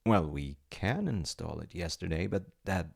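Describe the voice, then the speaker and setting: A man acting a deep, creaky-voiced polite wizard. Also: lively intonation, moderate pace, full character voice. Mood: smooth salesman charm. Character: eager, secretly magical wizard fiance running an enchantment business. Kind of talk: ASMR audio roleplay acting.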